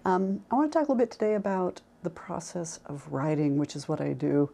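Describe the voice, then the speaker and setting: A woman speaking as a college instructor, talking informally and reflectively about her work, with halting pauses. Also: deep voice, creaky, warm tone. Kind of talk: informal talk to camera about teaching.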